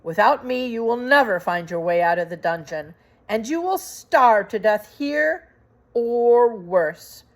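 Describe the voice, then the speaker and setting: Woman voicing a scornful, pompous villain rat, lively pitch. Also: unhurried. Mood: menacing, pompous. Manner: pompous, formal, sneering speech. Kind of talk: children's storybook read aloud.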